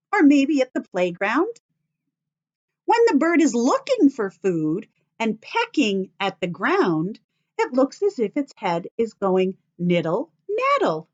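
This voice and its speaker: An American woman reading aloud to young children like a teacher, expressive and sing-song, addressing them with questions. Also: a little high, dull audio. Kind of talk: children's story read-aloud.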